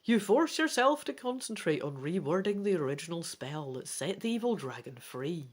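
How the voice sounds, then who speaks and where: Woman host, dramatic storybook reader with very animated pitch and chatty, self-deprecating asides. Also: normal speed. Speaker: host of a gamebook let's play.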